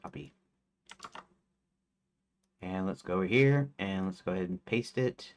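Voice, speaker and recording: A man's voice: sits low, a little slow, clear, resonant tone. Rambling man in his forties. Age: 40s. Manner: rambling, hesitant, many fillers and restarts. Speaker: game developer streaming his work. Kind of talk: casual live-stream chat.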